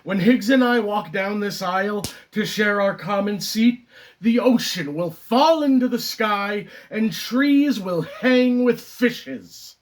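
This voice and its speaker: A man performing a stage comedy monologue as a neurotic, resentful theatre critic, declaiming dramatically and deliberately. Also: high voice, lively intonation, pitched above the performer's own voice. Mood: brooding, grandiose. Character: neurotic male theatre critic consumed by second-string resentment.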